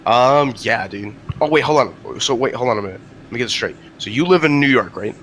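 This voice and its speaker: Fast, scatterbrained male caller from North Jersey, mid pitch, faint hiss. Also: expressive, a bit bright. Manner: rapid, scattered and chatty. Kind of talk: casual phone call.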